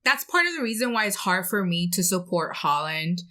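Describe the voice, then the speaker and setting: A woman's voice: lowish voice, animated, moderate pace, pushed and bright. A woman co-hosting a podcast, chatting in an easygoing, conversational way. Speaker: podcast co-host. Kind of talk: casual conversational podcast chat.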